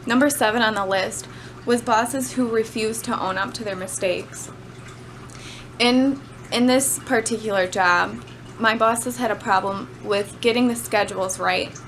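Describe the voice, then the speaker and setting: A woman delivers an informal class speech in a conversational way, with slightly hesitant phrasing. Her voice sits at an average pitch. The amateur camera recording has some noise in it. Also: a bit bright, rough recording. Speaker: student giving a class speech. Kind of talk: informal prepared speech on personal work experience.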